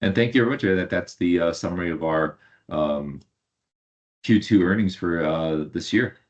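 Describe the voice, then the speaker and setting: Very low-pitched man, halting executive delivery. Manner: halting, hesitant delivery with repeated words. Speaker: company executive. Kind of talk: earnings call presentation and answers. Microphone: online conference call. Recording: dull lo-fi online call audio.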